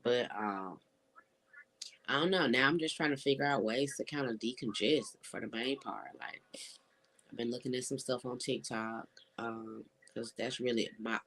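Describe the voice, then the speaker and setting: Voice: mid-range voice, normal speed, a bit bright, low-quality recording. A young adult with a stuffy, congested nose, giving a rambling, laid-back vlog update full of fillers. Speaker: vlogger.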